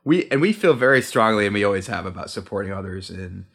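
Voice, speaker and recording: Low-pitched male guest, easygoing, wandering sentences. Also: fast talker, creaky. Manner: relaxed, rambling with frequent restarts. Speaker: podcast guest, sound designer. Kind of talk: casual chat.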